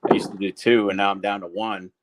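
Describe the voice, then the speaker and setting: A man's voice: lowish voice, level tone, quick pace, very noisy. A man co-hosting a casual livestream, talking candidly and rambling a little while he greets viewers by name. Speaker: livestream co-host.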